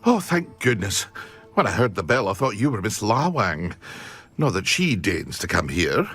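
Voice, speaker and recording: A man's voice: fairly low pitch, very animated, moderate pace, fairly bright tone, breathy edge, soft background music, full character voice. An actor playing an eager, talkative male potion shopkeeper in a video game. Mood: cheerful. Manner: eager, talkative, fond of his craft.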